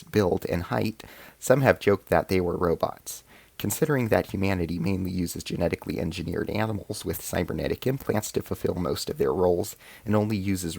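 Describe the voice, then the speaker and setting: Gravelly low-voiced man as an alien rebel soldier. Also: darkish tone, dry recording, exaggerated voice. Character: male alien rebel soldier, grave, determined squad chief. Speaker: narrator and lead character of an audio drama serial. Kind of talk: first-person scripted sci-fi narration.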